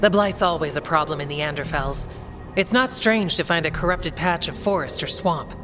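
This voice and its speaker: An actress playing a composed, grave scout in a fantasy video game, speaking in a measured, matter-of-fact way. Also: female voice, low voice, music in the background, natural voice.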